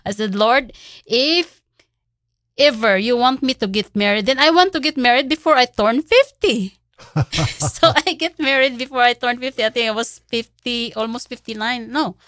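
A woman in her sixties speaks as a guest in a radio interview, her voice sitting fairly high. She tells her story earnestly and laughs often. The mic sound is close and dry. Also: normal speed, a bit bright, no echo. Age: 60s. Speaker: charity CEO, guest.

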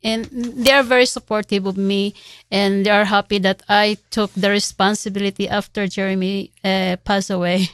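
A woman's voice: medium pitch, flat delivery, normal speed, clear, resonant tone, no room sound. A woman in her sixties, a charity CEO guest on a radio interview, telling her story earnestly with frequent laughs. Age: 60s. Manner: earnest, laughs often, faith-filled storytelling.

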